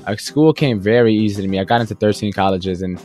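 A young man speaks in a fairly low register, earnest and fast-flowing. He leans on "you know" and "right?" as he tells his life story as an interview guest. A faint music bed plays underneath. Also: darkish tone. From United States. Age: young adult. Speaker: guest entrepreneur.

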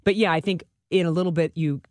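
A woman's voice: fairly low pitch, steady tone, fast talker, a little mellow, no room sound, studio quality. An American woman co-hosting sports talk, chatting informally in loose phrases and repeating words for emphasis. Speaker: sports radio co-host. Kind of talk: casual sports chat. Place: dry radio studio.

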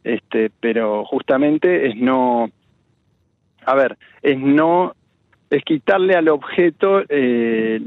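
A man's voice: mid-range voice, slow pace, no room sound. A man from Argentina with a Buenos Aires accent, an author answering interview questions thoughtfully, with many restarts and fillers. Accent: Buenos Aires Spanish. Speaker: children's story author, guest. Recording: dry tinny phone-like line.

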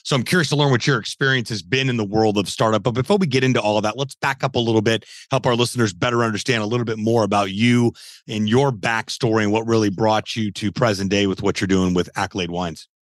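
A man's voice: on the low side, fairly even intonation, fast talker, no echo, studio quality. A man hosting a podcast, greeting his guest and asking him to share his backstory in an upbeat way. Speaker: podcast host.

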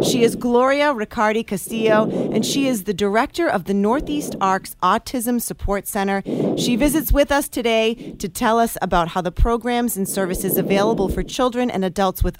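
A woman hosting a radio talk show who opens with a smooth scripted introduction and then asks her questions conversationally. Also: mid-range voice, heavy background noise. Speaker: radio host. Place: radio studio.

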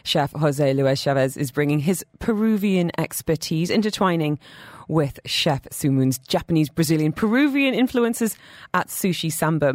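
A woman's voice: low voice, dry recording. A woman hosting a radio food show, interviewing guests in an enthusiastic, chatty way in a studio.

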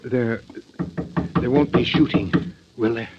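A man's voice: mid-range voice, takes it slowly, gravelly. An actor playing an anxious, earnest father with formal speech in a 1940s radio drama. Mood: worried. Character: anxious, pleading wealthy father of kidnapped daughter. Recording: dull, faintly noisy 1940s radio recording.

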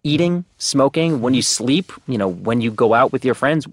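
A man from New Jersey with a New Jersey accent, a guest on a casual podcast conversation, talking in animated, energetic bursts. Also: mid-range voice, normal speed, slightly rough, dry recording. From New Jersey, USA. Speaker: guest, startup team member.

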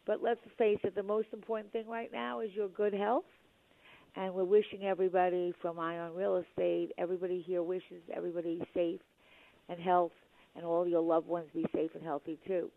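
A woman hosting a talk radio show, a little hoarse, chatty with lots of fillers. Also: on the low side, little pitch movement, a little fast, dry recording. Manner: chatty, with many fillers and restarts. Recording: dry tinny phone-like radio sound.

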